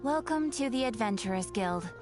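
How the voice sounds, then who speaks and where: Actress as a fantasy game guild receptionist, female, very high pitch, polite, formal, scripted, music underneath. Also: lively intonation, moderate pace, a little mellow, natural voice. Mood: courteous, welcoming. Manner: formal, courteous. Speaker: game character.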